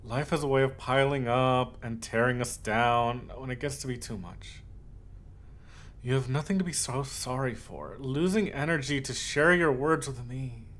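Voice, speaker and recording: A man's voice: medium pitch, fairly bright tone, close to an everyday voice. Man playing a tender, obsessive lovestruck yandere. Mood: soothing, possessive. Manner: tender, doting, halting, earnest. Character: obsessive lovestruck male yandere voice actor, soft and possessive. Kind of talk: intimate ASMR roleplay.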